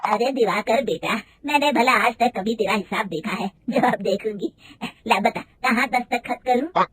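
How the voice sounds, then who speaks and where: A woman voicing a stern older mother-in-law in an animated moral story, scolding loudly and shrilly. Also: a little high, normal speed, breathy edge. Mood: bossy.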